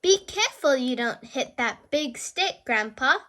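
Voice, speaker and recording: Excitable young piglet girl for a picture book, voiced by a woman: bright, high, very animated. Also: a little slow, full character voice. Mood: alarmed. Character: curious, excitable little girl pig.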